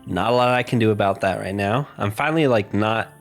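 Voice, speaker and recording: A man's voice: on the low side, faint music behind. A congested man giving easygoing, chatty commentary while playing a video game. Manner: easygoing, chatty, quick laughs. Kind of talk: casual let's-play commentary.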